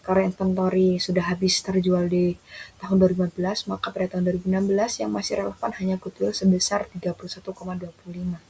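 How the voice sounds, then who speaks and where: A woman's voice: lowish voice, flat delivery, fast, mellow, rough recording. A young adult woman, presenting as a student in a formal, structured way. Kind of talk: explaining an accounting case. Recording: clear background noise, room ambience.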